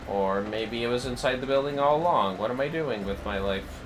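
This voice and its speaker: A man's voice: normal speed, music in the background. A man livestreaming a game, muttering casual, wry, offhand commentary to himself. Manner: low-key, wry, offhand.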